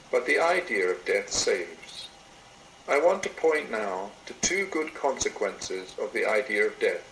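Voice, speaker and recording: A man delivers a reflective, sermon-like reading in a mid-pitched voice. He is measured and serious, with lively rises and falls across his phrases. The amateur computer recording sounds roomy. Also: slight background noise. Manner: measured, solemn, deliberate phrasing. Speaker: reader of a meditation written by another. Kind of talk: read-aloud meditation, sermon-like address. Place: indoor room, recorded into a computer.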